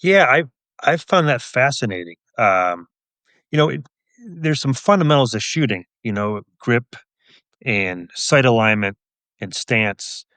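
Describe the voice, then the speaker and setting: A man who works as a police officer and author, answering interview questions in a measured, explanatory, conversational way. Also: medium pitch, animated, a bit bright, no room sound.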